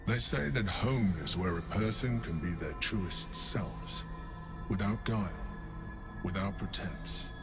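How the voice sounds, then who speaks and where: Calm mind flayer for a game, lowish voice, formal, deliberate, persuasive, music under it. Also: male voice. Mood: confiding calm. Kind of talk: dramatic video game dialogue.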